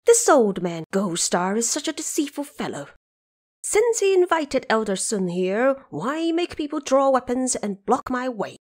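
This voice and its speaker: A woman's voice: fairly high pitch, big pitch swings, measured pace, a little mellow, pitched above the performer's own voice. A woman voicing a brash, hot-tempered male monkey king in an audio drama, blunt and insolent. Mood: complaining.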